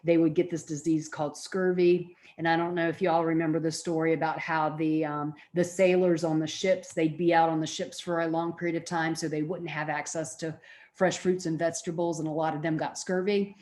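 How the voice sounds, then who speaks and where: A woman's voice: low voice, little pitch movement, brisk, darkish tone. A middle-aged woman giving an informal college lecture, explaining things in a conversational way.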